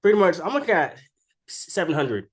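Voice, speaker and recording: A man's voice: high voice, very animated, moderate pace. A man who is sick with a cold gives casual, unscripted chart commentary as a trading video creator, talking informally with slang and frequent check-in tags. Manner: informal and slangy, keeps tagging statements with "right?".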